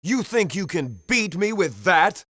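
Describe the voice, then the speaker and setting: A man's voice: high-pitched, big pitch swings, fairly bright tone, no room sound, clean studio sound, heavily acted. An actor playing a sneering male video game villain who mocks and goads his opponent. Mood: sneering, goading. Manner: mocking, drawn-out goading. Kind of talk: dramatic video game voice acting.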